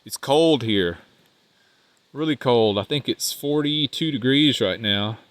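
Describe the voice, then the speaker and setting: A man's voice: mid-range voice, a little slow, no room sound. Nasal, congested man vlogging outdoors. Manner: rambling, easygoing, trails off quietly. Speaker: van life vlogger. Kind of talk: casual vlog talk while walking. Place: outdoors by a riverbank park.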